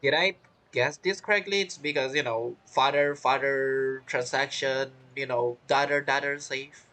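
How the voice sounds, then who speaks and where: A man's voice: mid-range voice, fairly even intonation, measured pace, sharp tone. A man giving casual, reactive commentary as he plays a game, chatty, with non-native phrasing. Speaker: streamer playing a game. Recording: game music behind the voice. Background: game music.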